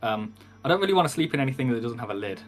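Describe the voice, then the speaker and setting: A man's voice: medium pitch, sing-song, rapid-fire, soft background music. A man chatting casually as a player in a tabletop role-playing game. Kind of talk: casual role-play chat.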